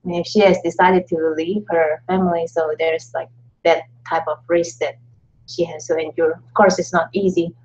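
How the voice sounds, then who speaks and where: Woman, husky, lowish voice, halting casual chat, lo-fi audio. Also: steady tone, darkish tone. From Indonesia. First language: Indonesian. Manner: halting, chatty, laughs often. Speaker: co-host. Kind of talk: casual live-stream chat.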